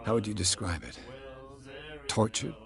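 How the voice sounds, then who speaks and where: A probing mainland investigator. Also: male voice, lowish voice, expressive, a little slow, vocal fry. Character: inquisitive male investigator from the mainland.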